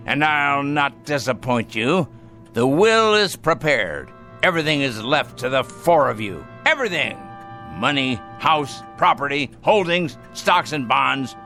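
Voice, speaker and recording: A man's voice: medium pitch, pushed and bright, heavily acted. A man acting in a radio drama as a bitter, domineering, dying rich old grandfather who talks in a theatrical, mocking way. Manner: theatrical, mocking, drawn-out delivery.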